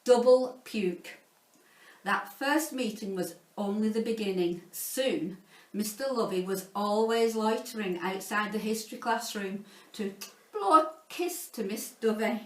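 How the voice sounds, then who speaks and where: A woman reading a children's story aloud in an expressive, playful, theatrical way, like a teacher narrating to pupils. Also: medium pitch, a little slow. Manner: theatrical, playful, dramatizing sound words. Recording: roomy echo, distant from the mic.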